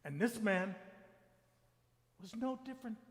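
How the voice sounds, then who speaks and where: A man playing Death as a cold, boastful victor in a church dramatic reading, declaiming theatrically with long pauses. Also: high voice, expressive, fairly bright tone. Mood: cold, proud. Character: Death personified, gloating, cold, boastful victor over all men. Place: large church sanctuary during a service. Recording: echoey hall with long reverb.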